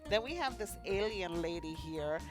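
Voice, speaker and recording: A woman's voice: fairly low pitch, expressive, normal speed, over music. A woman hosting a let's play, chatting casually and unhurriedly with fillers, a bit sniffly. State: sniffly, slightly congested. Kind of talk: casual gameplay commentary.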